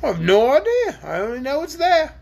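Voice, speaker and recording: Woman voicing a gruff young dragon, Quox. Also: high-pitched, wildly swooping pitch, moderate pace, a little mellow, pitched above the performer's own voice, exaggerated voice. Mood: gruff, boastful. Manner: sneering, blunt. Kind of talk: audiobook character reading.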